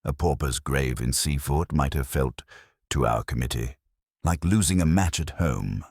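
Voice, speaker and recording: A man's voice: rumbling low, unhurried, a little mellow, husky, dry recording, clean studio sound, heavily acted. A man voicing an agreeable, dutiful junior policeman who reports drolly and matter-of-factly in a mystery story. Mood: dutiful, droll.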